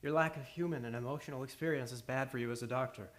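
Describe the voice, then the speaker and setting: A man's voice: medium pitch, fast talker, lightly acted. Man playing a blunt, lecturing male doctor. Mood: critical, pressing. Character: critical male psychiatrist, pressing a colleague. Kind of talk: old-time radio drama acting.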